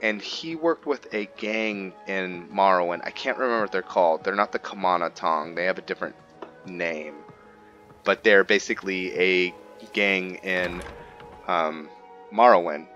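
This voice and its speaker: A man giving casual let's play commentary, pausing often mid-sentence as he thinks aloud. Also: deep voice, bright, forceful tone, dull audio. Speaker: game streamer narrating a roleplay playthrough. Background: game music.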